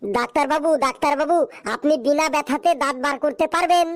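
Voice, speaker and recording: A woman voicing a naive, cheeky cartoon patient in an animated comedy, speaking with innocent earnestness. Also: sits high, fast talker, close to an everyday voice. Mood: earnest. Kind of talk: animated comedy sketch dialogue.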